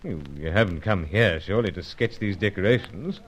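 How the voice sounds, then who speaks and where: A man's voice: sits low, animated, brisk. A man playing a suave but easily flustered British secret agent in a pulp spy radio serial, speaking in polite, hesitant, old-fashioned phrases. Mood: flustered, apologetic. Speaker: lead actor and first-person narrator.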